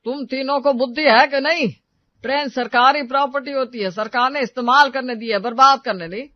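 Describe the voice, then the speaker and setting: A man's voice: extremely high pitch, fairly quick, dry recording. A man playing an older father-in-law who lectures his daughters-in-law indignantly. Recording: dull dry voice-over.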